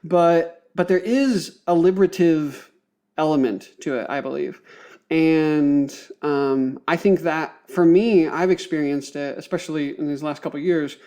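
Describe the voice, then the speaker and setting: A man's voice: a little high, measured pace, warm tone. A man speaking as a podcast guest, explaining thoughtfully in a conversational way, searching for words and using academic vocabulary.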